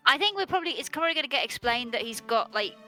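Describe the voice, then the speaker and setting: An excitable woman rambling happily among friends, her voice bright and a touch higher than most, tumbling through restarts and quick asides. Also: faint music behind. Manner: excitable, rambling, laughs often. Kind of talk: casual chat between game lines.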